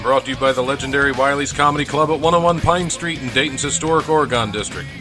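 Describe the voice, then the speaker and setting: An American man hosting a radio show with casual, rambling, self-deprecating comedy talk. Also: fairly high pitch. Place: studio.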